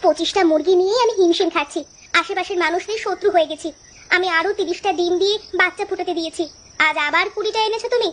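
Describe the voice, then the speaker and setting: A woman voicing a stern, harried village mother in a children's cartoon, brisk and scolding. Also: extremely high pitch, a bit bright. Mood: exasperated. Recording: music behind the voice.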